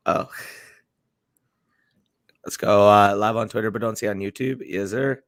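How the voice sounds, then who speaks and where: A young adult man with a hoarse voice, co-hosting a casual livestream and teasing playfully with lots of slang. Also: on the low side, steady tone, a little slow, no room sound, clean studio sound. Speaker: co-host.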